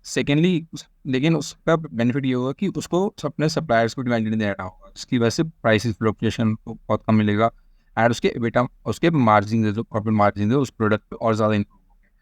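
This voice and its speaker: A man's voice: medium pitch, steady tone, brisk, no room sound. A man with an Indian accent giving an informal stock explainer, switching often between Hindi and English as he addresses viewers familiarly. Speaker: stock analysis presenter. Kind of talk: informal explainer commentary with screen share.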